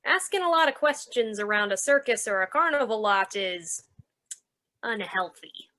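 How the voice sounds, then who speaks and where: A woman's voice: medium pitch, animated, moderate pace, pushed and bright. A woman playing a tough, suspicious carnival manager, brusque and slangy, in old-time radio noir style. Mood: needling, threatening.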